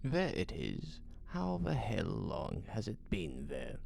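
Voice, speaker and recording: Audio drama role: male actor as alarmed shop owner, urgent and questioning, lively swooping pitch, faint noise behind. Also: slow pace, vocal fry, heavily acted. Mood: agitated, urgent. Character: alarmed, agitated small-town shop owner, horrified and insistent.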